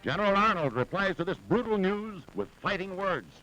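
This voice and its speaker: A man's voice: sits high, expressive, a little fast, low-quality recording. An American man reading a scripted 1940s newsreel narration in a dramatic, urgent, emphatic manner. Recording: vintage 1940s newsreel soundtrack with music. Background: loud dramatic music.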